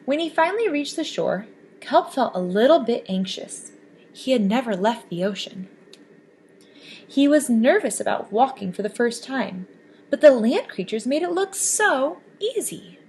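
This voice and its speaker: A woman reading a children's picture book aloud with expressive, animated storytelling. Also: high-pitched, a little slow, slightly rough. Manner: expressive, lively storybook voices.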